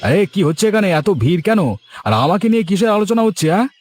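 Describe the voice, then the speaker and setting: Casting: man to play cocky village food-stall husband for cartoon comedy, quick, scornful, highish male pitch. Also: lively intonation, faint music behind. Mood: challenging. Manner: boastful, scornful, emphatic. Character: boastful, stubborn village biryani cook and stall owner, husband.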